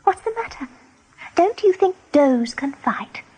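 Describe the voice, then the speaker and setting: A young doe rabbit in a 1980s radio drama, pitched high. She sounds anxious and hesitant, with an earnest, plucky streak underneath. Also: big pitch swings, measured pace, mellow, low-quality recording. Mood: plucky, coy. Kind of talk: 1980s radio play acting.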